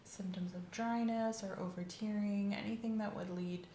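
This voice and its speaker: A woman's voice: mid-range voice, fairly even intonation, moderate pace, warm tone, reverberant, a little hiss or hum. A woman doing an ASMR medical exam roleplay as an examiner, methodical and professional in the way she questions a patient.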